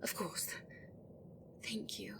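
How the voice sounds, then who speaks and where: A woman playing a frightened, desperate gryphon in an audio roleplay, pleading and deferential, with halting, stammering delivery. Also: whispered, natural voice. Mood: meek relief.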